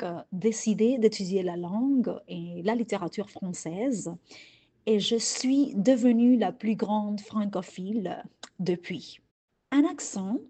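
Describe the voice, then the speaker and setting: A woman French teacher from Cuba with a Cuban accent, Spanish her first language, speaking in a calm, deliberate and earnest way. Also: mid-range voice. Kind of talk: prepared personal message.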